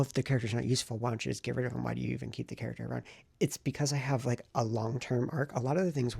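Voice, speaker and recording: A man with a heavily fried, husky voice talks in a loose, conversational vlog style. He thinks aloud, circling back over his points in a self-reflective ramble. The sound is dry, with very little room around the voice. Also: medium pitch, a little mellow, no room sound. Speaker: writing vlogger and novelist. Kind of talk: casual talking-head vlog.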